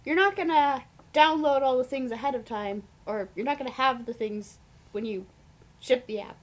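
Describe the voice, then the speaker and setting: A woman's voice: sits high, normal speed, natural voice. A woman voicing a chirpy, eager mascot creature who playfully co-hosts a coding show. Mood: helpful, chipper. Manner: chirpy, exclamatory, eager co-host. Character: Dash, perky female mascot creature supervising a programmer.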